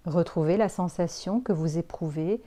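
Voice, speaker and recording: A woman leading a guided yoga relaxation as an instructor, giving calm, soothing instructions with pauses. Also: on the low side.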